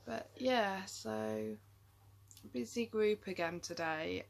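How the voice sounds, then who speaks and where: A woman's voice: mid-range voice, slow, gravelly. A tired woman chatting casually to camera in a rambling vlog, with lots of ums and trailing thoughts. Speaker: vlogger.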